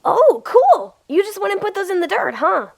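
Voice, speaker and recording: A woman's voice: very high-pitched, very animated, moderate pace, vocal fry. A young woman voicing a nervous, upbeat lead in a comic dub, with lots of fillers.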